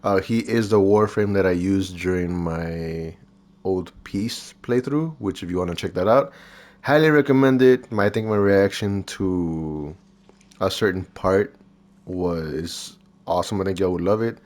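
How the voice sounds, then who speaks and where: A man with a fairly low voice that sounds stuffed up and nasal. He talks like a gaming video host walking viewers through a showcase. His delivery is informal and rambling, often thinking out loud. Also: measured pace. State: congested from recovering from illness. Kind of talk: casual gameplay showcase commentary.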